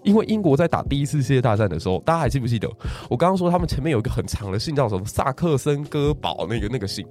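A man's voice: medium pitch, racing, few pauses, faint music behind. A man with a Taiwanese Mandarin accent, a podcast guest telling a history story and asking listeners rhetorical questions. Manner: animated, asks the audience rhetorical questions.

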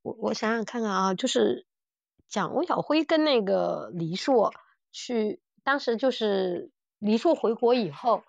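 A middle-aged woman co-hosting a podcast in casual chat, animated and blunt as she talks through audio dramas. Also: medium pitch, unhurried, vocal fry, no echo, dull audio. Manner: animated and blunt, mimics characters' lines. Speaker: podcast host.

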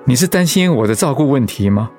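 An actor playing a seriously ill but patient, encouraging husband in a Mandarin radio drama. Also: male voice, mid-range voice, lively intonation, brisk, a little mellow, lightly acted. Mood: earnest, reassuring. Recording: music under the voice.

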